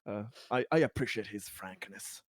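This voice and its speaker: A man playing a tabletop roleplaying game, improvising casual in-character talk in a halting, stammering, wordy way. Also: lowish voice, no echo, clean studio sound.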